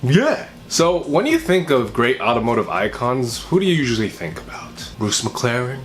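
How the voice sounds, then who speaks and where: Young American male host, casual and upbeat, quick jokes between news items. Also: mid-range voice, big pitch swings. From United States. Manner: upbeat, jokey asides. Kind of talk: casual weekly car news roundup.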